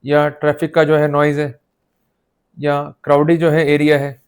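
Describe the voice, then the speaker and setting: A man's voice: fairly high pitch, monotone, moderate pace, smooth. An Indian man giving an explanatory lecture with an Indian accent, instructive and emphatic, putting short rhetorical questions to his students and switching between two languages. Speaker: law lecturer. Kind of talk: explanatory lecture mixing Hindi and English, addressing students.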